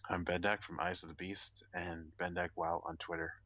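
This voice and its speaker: Very deep, monotone man. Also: normal speed, highs cut off. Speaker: podcast panelist. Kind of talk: casual technical discussion.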